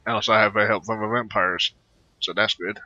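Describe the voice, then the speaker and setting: Male gamer, slightly low pitch, very sharp tone, halting casual commentary, rambling, slightly lo-fi recording. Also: steady tone. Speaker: gaming video creator. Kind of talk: casual let's play commentary. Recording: very roomy, slightly dull microphone sound.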